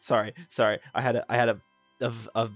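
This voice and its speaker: A woman streaming a game, making sarcastic, deadpan quips over the dialogue. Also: a bass voice, quick pace, dry recording, faint music behind. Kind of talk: joking game commentary.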